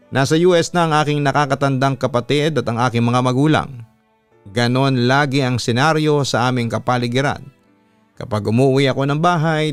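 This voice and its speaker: A man's voice: medium pitch, smooth, dry recording. Filipino man narrating over music, dry close sound. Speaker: radio announcer and story narrator. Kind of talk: scripted narration of a listener's letter. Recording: music playing behind the voice.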